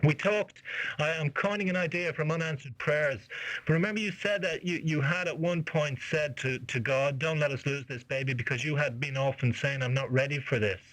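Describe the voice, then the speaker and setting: A man's voice: a little high, fairly even intonation, moderate pace, fairly bright tone, poor audio. An Irish man working as a music journalist, interviewing someone conversationally over the phone. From Ireland. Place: on a phone call.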